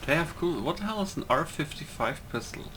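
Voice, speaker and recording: A man's voice: fairly high pitch, animated, brisk, fairly bright tone, slight background noise. Casual man muttering through a let's play. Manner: loose, muttering to himself, swearing. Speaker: video game streamer.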